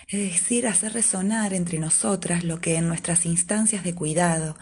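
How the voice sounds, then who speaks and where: A woman with a lowish, mellow voice reads a prepared presentation for her association. Her tone is soft-edged and husky, with a formal, explanatory delivery. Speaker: association member presenting a project. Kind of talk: scripted presentation.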